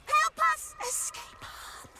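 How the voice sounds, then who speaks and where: An actress voicing a group of alien brain creatures that plead for help, speaking as one, sometimes in a whisper. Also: female voice, slow, background noise, close to an everyday voice.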